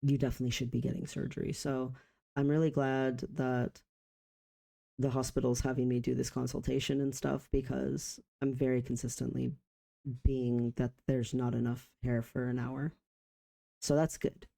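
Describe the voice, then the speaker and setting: A man around forty speaks in a very mellow, dark voice with plenty of fry. His intonation stays fairly flat. He talks in short, reflective phrases with pauses, as in a personal vlog. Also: mid-range voice. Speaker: vlogger.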